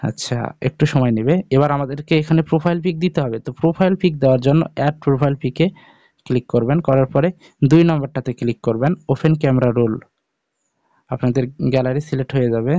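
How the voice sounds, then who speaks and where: A man's voice: mid-range voice, quick pace, warm tone, dry recording, dull audio. A man hosting an informal step-by-step app tutorial, speaking directly to viewers and checking in with them often. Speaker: tutorial video host.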